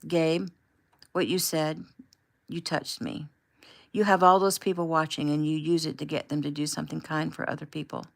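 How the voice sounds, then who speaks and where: Soft, sincere young woman, low and gravelly, voiced by a woman narrator. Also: fairly even intonation, moderate pace.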